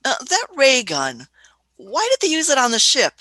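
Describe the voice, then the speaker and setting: A woman's voice: high-pitched, wildly swooping pitch, normal speed, sharp tone, exaggerated voice. A woman voicing an elderly female time traveler in a fan radio play, shrewd and fussy, often hesitating with "uh" and "hmm".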